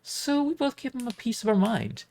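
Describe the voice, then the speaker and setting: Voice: medium pitch, huge pitch swings, moderate pace, vocal fry, a little mellow, voice pushed up. A performer voicing a sweet but fierce woman who scolds like a schoolteacher in an audio drama. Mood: sweet, indignant. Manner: schoolteacher-like, emphatic.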